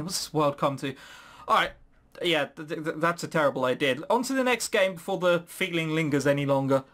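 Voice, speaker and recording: A man hosts a casual let's play with an animated, swinging pitch. His manner is sarcastic and he swears freely, reading game text aloud as he goes, with music faintly behind him.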